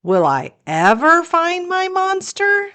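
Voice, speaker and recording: A woman reading a picture book aloud to children as a storytime host, in a deliberate storyteller's delivery. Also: very high-pitched, huge pitch swings, sharp tone.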